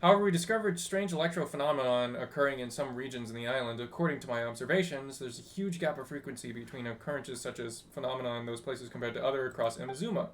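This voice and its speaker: A man voicing a chatty, teasing ship's technical officer from a game, reading the dialogue aloud in an animated, slightly halting way. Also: mid-range voice, natural voice. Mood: eager, explaining.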